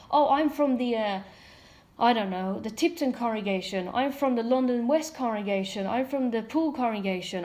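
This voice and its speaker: A woman from Ireland talks to camera in a loose, unscripted monologue. Her voice sits a little high, and she drifts between earnest points and scoffing asides, padding her speech with fillers as she thinks aloud. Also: a little mellow. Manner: candid, frequent fillers and mocking asides. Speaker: ex-member commentator. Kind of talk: casual monologue commentary.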